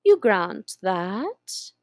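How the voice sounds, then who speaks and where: A woman putting on a sly, slow-drawling storybook cat. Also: medium pitch, sing-song, a little mellow, no room sound, studio quality, heavily acted. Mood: teasing. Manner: slow, drawn-out, teasing delivery. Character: sly grinning cat, teasing and mysterious. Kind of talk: storybook reading.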